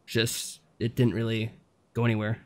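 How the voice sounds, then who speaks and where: A man's voice: lowish voice, completely flat delivery, moderate pace. A man co-hosting a podcast over a voice call, talking casually in a mild, somewhat hesitant, informal way. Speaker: podcast co-host. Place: remote voice call.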